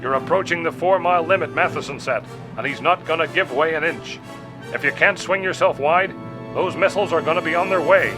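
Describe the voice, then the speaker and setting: A man acts out a gruff father commanding a rescue from base. His voice is harsh, edgy and urgent, lifted above a plain reading tone. Dramatic music plays clearly behind this audio adventure. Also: a little high, fairly quick, voice pushed up, close to an everyday voice. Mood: edgy warning.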